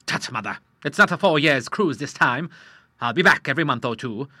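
A man's voice: animated, fairly quick, bright, forceful tone, dry recording, clean studio sound. A man reading an audiobook, voicing a hearty old naval admiral in his sixties who talks boisterously in nautical terms. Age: 60s. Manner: booming, boisterous, nautical talk.

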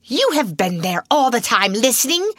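A woman voicing a stern, cold headmistress who gives sharp, clipped orders. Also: a little high, sing-song, moderate pace, no room sound, clean studio sound, pitched above the performer's own voice. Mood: angry.

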